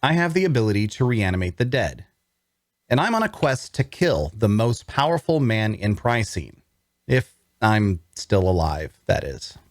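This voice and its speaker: A man's voice: lowish voice, very animated, moderate pace, dry recording, studio quality. An actor playing a sardonic, haunted necromancer outcast who narrates his own tale in a wry, theatrical way for an audio drama. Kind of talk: first-person dramatic narration, scripted.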